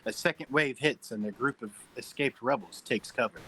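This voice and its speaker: A man's voice: medium pitch, normal speed, bright, forceful tone, slight background noise. A man narrating stage directions from a script at a table read.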